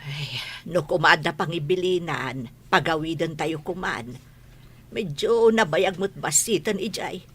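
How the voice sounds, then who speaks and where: A woman's voice: sits low, unhurried, husky. A woman playing a weary, nagging middle-aged village mother in a radio comedy drama. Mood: scolding.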